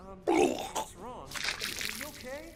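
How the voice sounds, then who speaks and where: A man's voice: sits high, wildly swooping pitch, takes it slowly, background noise, exaggerated voice. An actor playing a male character in a military spy video game, with dramatic delivery. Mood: concerned. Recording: loud music and rain behind.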